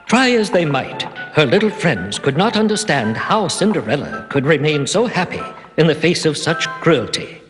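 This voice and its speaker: A man's voice: a little high, very animated, moderate pace, few pauses, in a large hall, music in the background. A man narrating a scripted fairy tale as a storyteller.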